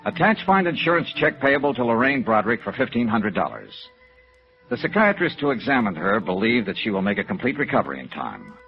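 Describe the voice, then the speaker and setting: An actor playing a terse, hardboiled yet compassionate American insurance investigator in 1950s radio noir, with clipped dramatic delivery. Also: male voice, mid-range voice, sing-song, a little fast. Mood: wry, matter-of-fact. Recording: dull vintage radio recording with music.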